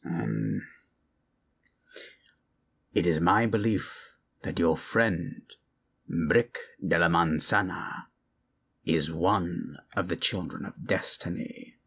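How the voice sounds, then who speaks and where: A grave sorcerer, played by a man, speaks very low and slowly, with a husky rasp. He is solemn and commanding, a dark figure turned to good in a fantasy ASMR roleplay. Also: full character voice.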